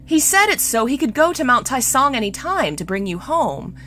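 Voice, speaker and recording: Woman acting a gentle, lilting doting queen. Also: high voice, sing-song, normal speed, a little hiss or hum, voice pushed up, full character voice. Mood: wistful.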